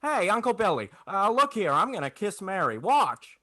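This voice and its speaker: An actor playing an eager, idealistic small-town young man in a 1940s radio play, with a halting, earnest delivery. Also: high-pitched, very animated, pushed and bright, no room sound, studio quality, exaggerated voice. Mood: brash.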